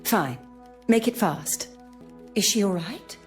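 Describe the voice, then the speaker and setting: A woman's voice: medium pitch, lively intonation, takes it slowly, soft background music. A woman playing a busy, businesslike newspaper reporter in a mystery game. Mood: brusque, concerned. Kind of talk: scripted game dialogue.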